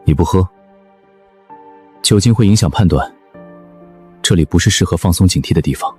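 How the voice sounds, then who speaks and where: Cool, very deep-voiced young survivor played by a man. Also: normal speed, darkish tone, husky. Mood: detached. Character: cool, calculating young male exam survivor. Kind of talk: animated web drama dialogue. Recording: background music under dialogue.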